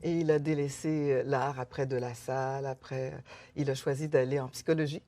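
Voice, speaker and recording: A middle-aged woman from Canada talks through her life as an interview guest. She is thoughtful and unhurried, with frequent fillers and soft laughs between her thoughts. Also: very deep voice, steady tone. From Canada, Haitian-born. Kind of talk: conversational interview.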